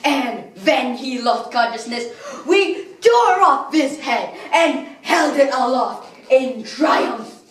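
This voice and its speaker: Young girl playing a slow, melodramatic male villain, echoey. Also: medium pitch, expressive. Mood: gloating menace. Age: child or young teenager, 13 or under. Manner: theatrical, dramatic pauses, swelling outbursts. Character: melodramatic male soap-opera villain, bitter and grandiose crime lord. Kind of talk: theatrical stage monologue. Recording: distant, echoey room with background noise.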